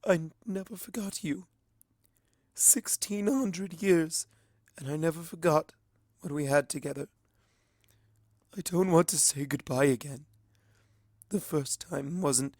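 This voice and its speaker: An immortal alicorn princess, acted by a male narrator, speaking formally and slowly in a raised pitch. She carries old sorrow with regal restraint, as in a storybook read close and dry. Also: a little mellow, no echo. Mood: tearful, grieving. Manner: formal, measured speech.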